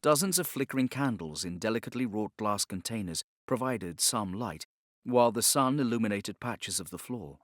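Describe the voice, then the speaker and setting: Low-voiced, solemn male audiobook narrator. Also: lively intonation, no echo, clean studio sound. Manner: measured, solemn. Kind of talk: scripted dramatic narration of a novel.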